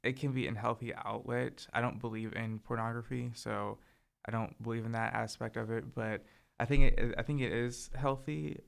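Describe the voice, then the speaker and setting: Young guy, soft therapist-y voice, bit of vocal fry, lots of likes and ums. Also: mid-range voice, fairly even intonation, normal speed. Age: young adult. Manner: soft-spoken, hesitant, lots of "like" and "um". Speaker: podcast co-host. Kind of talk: casual chat.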